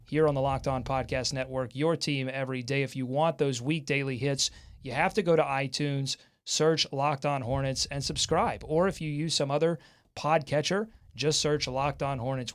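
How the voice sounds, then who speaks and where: A man hosting a sports podcast in a lively, upbeat, joking banter style. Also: on the high side.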